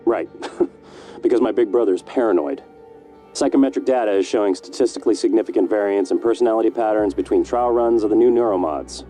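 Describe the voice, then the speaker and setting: An actor playing a confident, flippant scientist talking to a camera in a video game, casual and wry. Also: male voice, on the low side, fast, mellow, faint music behind, close to an everyday voice. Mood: flippant, assured. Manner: casual, self-assured, wry. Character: confident, flippant male scientist recording himself.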